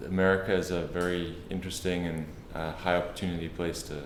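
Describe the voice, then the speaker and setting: A man with a South African accent speaks in a low, steady register as an interview guest. He explains things in a measured way, often restarting a phrase. The room has a roomy echo and a faint hum of ambience behind him. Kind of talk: conversational interview.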